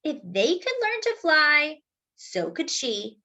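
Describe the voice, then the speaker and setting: A woman reading a children's book aloud as a storyteller, with expressive narration, deliberate phrasing and drawn-out words for emphasis. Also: sits high.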